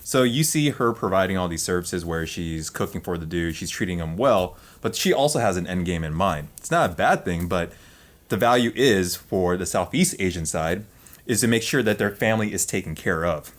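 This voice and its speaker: A man's voice: low voice, animated, normal speed. A man whose first language was Vietnamese, co-hosting a casual podcast and giving insistent, lecturing advice. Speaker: podcast co-host. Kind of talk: casual reaction chat.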